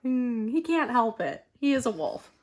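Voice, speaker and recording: A woman reads a children's story aloud. Her voice is high and swings widely in pitch, and she leans on words with dramatic pauses. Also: sing-song. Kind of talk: expressive children's picture-book read-aloud.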